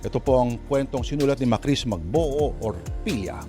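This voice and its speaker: A man hosting and narrating a radio drama in a theatrical, emphatic scripted style, pausing between phrases. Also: mid-range voice. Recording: music playing behind the voice.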